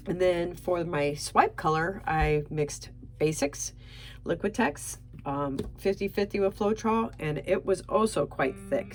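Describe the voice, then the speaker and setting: An older woman who sounds nasal and stuffed-up from a sinus infection, chatting patiently through a painting tutorial step by step. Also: low voice. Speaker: artist demonstrating fluid painting. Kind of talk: casual tutorial narration.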